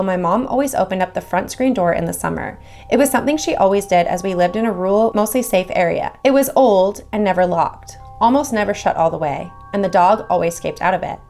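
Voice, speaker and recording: A woman's voice: medium pitch, darkish tone. A woman reading horror stories aloud, with casual chatty asides between them. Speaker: horror story reader.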